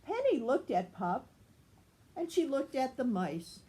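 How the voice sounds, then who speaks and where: Slow, lively older woman reading a storybook. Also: sits high, rough recording. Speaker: grandmother reading a children's story.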